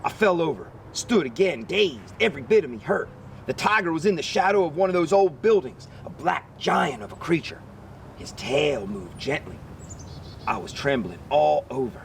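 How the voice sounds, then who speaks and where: A man reading a children's picture book aloud as an expressive narrator. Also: on the high side, moderate pace, gravelly, slight background noise. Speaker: story reader.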